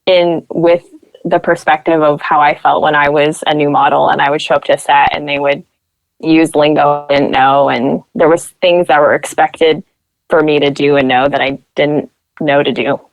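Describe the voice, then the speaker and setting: A woman's voice: sits low, fairly even intonation, normal speed, gravelly, no echo, poor audio. A young woman from Nebraska, a podcast guest, telling stories in casual chat and acting out what people said. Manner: chatty storyteller who acts out quoted voices.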